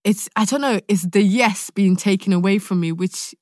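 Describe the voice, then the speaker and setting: A woman with a London accent in casual conversation, telling a personal story in a candid, expressive way. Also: mid-range voice, normal speed, dry recording, studio quality. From born in Nigeria, raised in London. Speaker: guest, actor.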